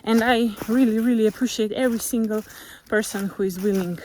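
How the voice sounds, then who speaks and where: A woman with a Slovenian accent, speaking English as a second language in a gentle, reflective motivational vlog while walking outdoors, sometimes searching for words. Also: on the high side, fairly even intonation, a little fast, slight background noise, poor audio. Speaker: vlogger. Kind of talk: reflective motivational monologue to camera. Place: outdoors on a nature walk. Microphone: camera held while walking.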